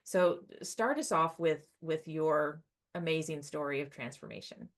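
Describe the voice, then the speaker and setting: Low-voiced middle-aged woman hosting a podcast. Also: measured pace. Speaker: podcast host. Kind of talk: conversational interviewing.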